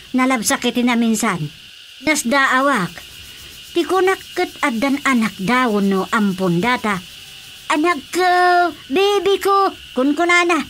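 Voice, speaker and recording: A woman's voice: high voice, pushed and bright, slight background noise, full character voice. An actress with an Ilocano accent playing a chatty, disapproving mother who exclaims freely in a radio comedy drama. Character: gossipy, disapproving mother of a married daughter.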